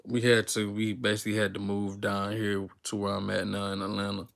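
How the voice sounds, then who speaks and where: Low, flat-voiced young man rambling. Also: a little fast, creaky. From Texas. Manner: rambling, relaxed slang-filled talk with many fillers and restarts. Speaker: YouTuber. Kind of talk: unscripted casual talk to viewers, live stream.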